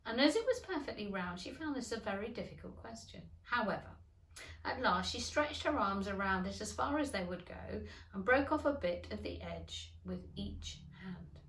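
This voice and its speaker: A woman reading a children's storybook aloud in an expressive narrating style. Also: medium pitch, normal speed, recorded from a distance. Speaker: teacher reading to pupils. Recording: echoey room.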